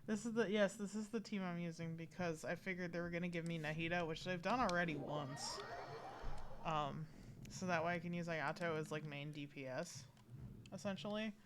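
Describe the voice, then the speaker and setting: A woman's voice: lowish voice, steady tone, normal speed, background noise. A woman giving casual live gameplay commentary as a streamer, in a rambling, joking, slangy way. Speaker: game streamer. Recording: game music behind voice.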